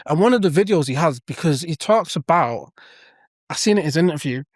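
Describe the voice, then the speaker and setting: A man's voice: on the high side, lively intonation, brisk, no echo, studio quality. A man co-hosting a casual podcast, explaining earnestly with examples and many restarts. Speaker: podcast co-host.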